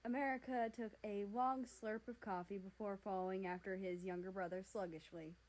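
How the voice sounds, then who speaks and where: A woman reading fan fiction aloud as an expressive narrator. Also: medium pitch, normal speed, rough recording.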